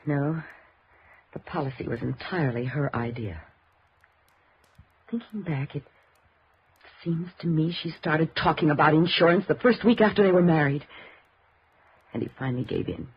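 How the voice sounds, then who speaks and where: Wounded, suspicious daughter, 1950s radio drama. Very animated pitch, halting yet insistent, muffled vintage sound. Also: female voice, slightly rough, full character voice. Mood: bitter, suspicious. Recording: dull, noisy old radio recording.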